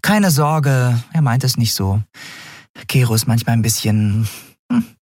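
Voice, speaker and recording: A man's voice: medium pitch, animated, measured pace, a little mellow, no echo, clean studio sound, pitched above the performer's own voice. A man voicing a tiny fairy-like woman in an audiobook, cheerful, friendly and reassuring.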